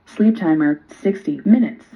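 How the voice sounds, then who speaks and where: A woman's voice: on the low side, moderate pace. A synthetic woman's voice giving clipped, formulaic menu announcements, played through a radio's speaker. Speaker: talking radio voice prompt. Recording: dull lo-fi small device speaker.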